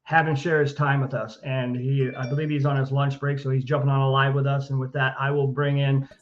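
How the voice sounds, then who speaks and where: A man's voice: mid-range voice, little pitch movement, a little fast, highs cut off. A man hosting a live online show who introduces his guest hesitantly, with many restarts. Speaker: show host.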